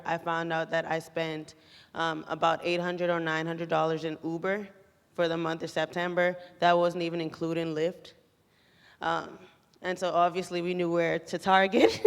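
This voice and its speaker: A woman's voice: fairly low pitch, flat delivery, moderate pace, clear, resonant tone. A woman from the Bahamas giving a casual testimonial to an audience in a chatty, informal storytelling way. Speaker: programme participant giving a testimonial. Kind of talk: casual, anecdotal public testimonial. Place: large echoey room at a graduation event. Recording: echoey hall, long reverb.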